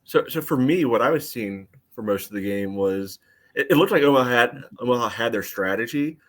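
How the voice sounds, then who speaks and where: A man's voice: medium pitch, animated, fairly quick, a bit bright. A man co-hosting a sports podcast, casually explaining soccer tactics with frequent asides.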